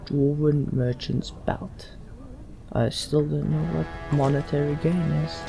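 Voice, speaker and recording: A man's voice: fairly high pitch, fairly even intonation, slow pace, vocal fry. A man hosting a let's play, musing aloud in casual game commentary with some role-play. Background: game music.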